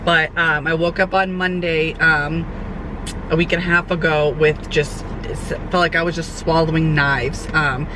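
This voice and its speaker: A young woman, a fitness trainer, chats casually in a vlog update, her voice hoarse and nasal from a cold. She rambles with lots of fillers. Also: sits low, fairly even intonation, measured pace. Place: sitting outdoors, traffic nearby. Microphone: camera mic. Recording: outdoor camera audio with traffic noise.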